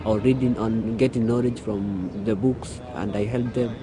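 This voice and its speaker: A man's voice: lowish voice, fairly even intonation, normal speed, over music. A man, a youth coordinator who rambles and searches for words in a documentary interview. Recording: outdoor street noise with passing traffic.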